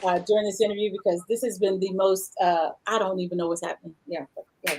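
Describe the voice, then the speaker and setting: A woman's voice: mid-range voice, level tone, fast, background noise. A woman hosting a casual livestream interview over a video call, chatty and rambling as she reads out viewer comments. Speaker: show host.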